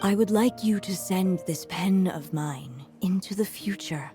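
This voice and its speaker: An actress voicing a frail elderly woman author near the end of her life, speaking slowly and calmly, sometimes soft and breathy, with coughs. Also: medium pitch, over music, natural voice. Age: older. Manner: slow, calm, resolved. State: coughing, weakened. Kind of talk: game dialogue.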